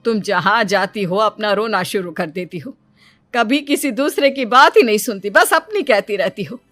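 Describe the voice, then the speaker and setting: A woman's voice: mid-range voice, lively intonation, moderate pace, a bit bright, dry recording, faint music behind. Woman, stern, scolding, cartoon story dialogue. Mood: angry.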